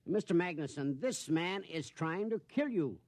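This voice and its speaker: An actor playing a harried, earnest company official with a formal manner in a 1950s radio drama. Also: male voice, fairly high pitch, expressive, moderate pace, a little mellow, no room sound. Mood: urgent. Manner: urgent, exasperated, formal.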